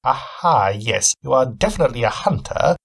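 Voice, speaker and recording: A man's voice: medium pitch, expressive, normal speed, vocal fry, fairly bright tone, breathy edge, pitched above the performer's own voice, exaggerated voice. A man voicing a thin, keen-eyed older male detective who speaks in a formal, courteous, deducing way. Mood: probing.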